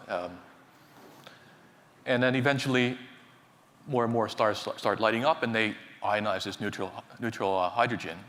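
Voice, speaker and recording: A man from Finland giving a technical conference talk in a large hall, speaking haltingly with many fillers and repeated words. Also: on the low side, fairly bright tone. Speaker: conference speaker, associate professor. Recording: reverberant hall, faint ambience.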